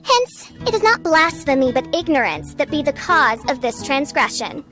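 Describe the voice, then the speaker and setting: A woman's voice: high-pitched, sing-song, normal speed. A woman playing a haughty young self-styled princess in a video game, declaiming pompously in archaic, theatrical speech. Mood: condescending. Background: music.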